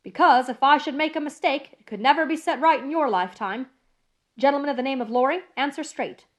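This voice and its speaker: A woman voicing a gruff, wary male coach guard in an audiobook, loud and threatening. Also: sits high, animated, normal speed. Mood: wary threat. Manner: loud, commanding, suspicious. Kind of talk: audiobook character voice.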